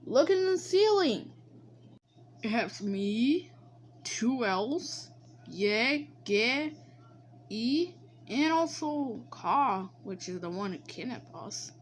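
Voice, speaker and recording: A high-voiced woman playing squabbling cartoon alphabet letters in a homemade animated skit. The delivery is slow and halting, with repeated phrases and a very lively melody. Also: a little hiss or hum. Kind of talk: improvised amateur animated skit dialogue.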